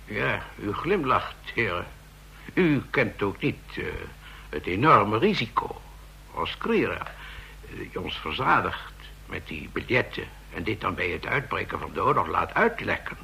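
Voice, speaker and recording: Brief: insistent expert in a radio play, husky and sharp, animated delivery. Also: male voice, on the low side, unhurried, full character voice. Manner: explanatory, insistent. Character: male counterfeiting expert, lecturing, insistent. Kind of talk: radio drama acting.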